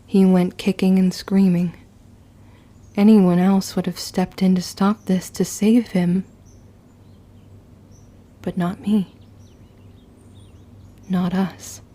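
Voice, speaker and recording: A woman playing a grim, resolute young witch in an audio drama, measured and solemn in delivery. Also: lowish voice, flat delivery, dark tone. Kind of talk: scripted first-person audio drama narration.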